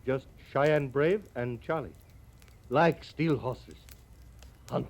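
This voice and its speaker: An actor playing a cheerful, guileless Crow scout and horse thief in a 1950s radio western, speaking halting broken English and calling himself by name. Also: male voice, medium pitch. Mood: proud, cheerful. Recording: old radio recording with background noise.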